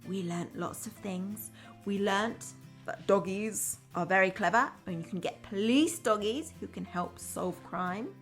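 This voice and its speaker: Female storyteller, primary-teacher style: unhurried, expressive read-aloud with simple asides for little kids, soft music underneath. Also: mid-range voice. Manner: expressive, addresses young children simply.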